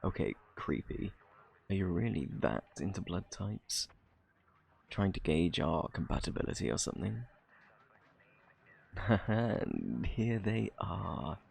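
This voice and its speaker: A man with a British accent acting out a flirty, cocky thief under interrogation in an ASMR roleplay, teasing and sarcastic in a husky, gravelly drawl. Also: deep voice, big pitch swings, slow, pauses often, a little mellow, full character voice. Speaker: audio roleplay performer.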